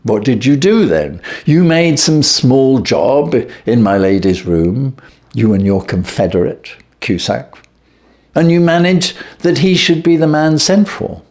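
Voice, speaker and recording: A man reading aloud and voicing a stern, clever detective who calmly accuses a thief. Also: medium pitch, pitched above the performer's own voice. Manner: stern, cutting, measured. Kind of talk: reading a classic story aloud.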